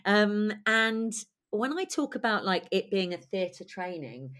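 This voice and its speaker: A woman in her forties with a husky voice and a cold, talking in a lively, chatty way as an interview guest. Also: medium pitch, slow pace. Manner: chatty, lively, laughs often. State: has a cold. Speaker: guest writer and director. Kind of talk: conversational interview.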